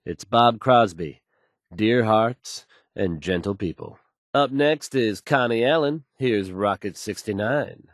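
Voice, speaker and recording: An actor playing a smooth, self-assured male radio DJ and announcer in a video game. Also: lowish voice, lively intonation, slow, no room sound, studio quality. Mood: smooth, easygoing.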